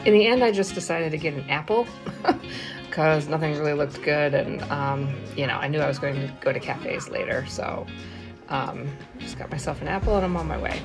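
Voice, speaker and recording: A woman's voice: sits low, normal speed, music in the background. An American woman in her forties vlogging in a casual, chatty way, laughing often and dropping ums and uhs. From United States. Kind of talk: casual vlog narration. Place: small bright room indoors, then walking outdoors in the city.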